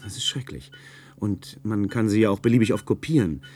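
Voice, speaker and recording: A man acts out an earnest young communicator in a science-fiction radio play. His voice is fairly low and very mellow, with a soft edge. He speaks as someone conscientious and troubled, at times hesitant. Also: brisk, lightly acted. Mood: troubled, confessional. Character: earnest young male communicator, recently graduated, troubled by guilt.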